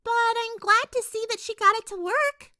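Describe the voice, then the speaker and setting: A woman's voice: very high-pitched, normal speed, bright, forceful tone, no room sound, clean studio sound, pitched above the performer's own voice. A woman voicing a perky, earnest young female cartoon pony in a fan fiction reading, speaking emphatically. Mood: relieved.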